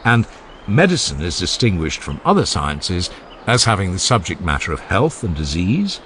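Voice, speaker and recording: A man narrating an audiobook of a classical philosophical dialogue in a measured, formal and emphatic way. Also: deep voice, sing-song, a bit bright, a little hiss or hum. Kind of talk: dramatized scripted reading of a classical philosophical dialogue.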